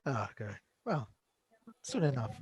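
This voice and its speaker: A soft-spoken man, talking casually on a video call. He sounds modest and low-key. The sound is dry and close, with no room echo. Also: sing-song, measured pace, husky. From Argentina. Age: late 20s. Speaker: language exchange participant.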